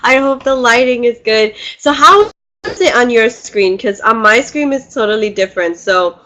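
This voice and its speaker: A woman's voice: fairly high pitch, expressive, moderate pace, pushed and bright. A woman hosting a live webinar, bubbly and chatty, her voice congested from a cold, laughing often as she greets people. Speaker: webinar host and spiritual teacher. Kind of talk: casual live webinar talk. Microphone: computer mic on a video call.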